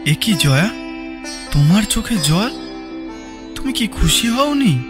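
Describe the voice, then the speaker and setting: A man's voice: high voice, slow, full character voice. A man playing an eager, lovelorn young man in a cartoon drama, earnest, pleading and emotional. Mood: anxious, questioning. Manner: pleading, excitable, emotional delivery. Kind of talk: dramatic audio story acting. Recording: music under the voice.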